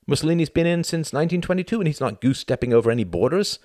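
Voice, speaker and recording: A man's voice: fairly low pitch, very animated, normal speed, dry recording, clean studio sound, pitched above the performer's own voice. A man voicing an irritable, weary, argumentative Englishman in an audiobook, with a curt manner. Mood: bitter sarcasm. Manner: curt, brusque.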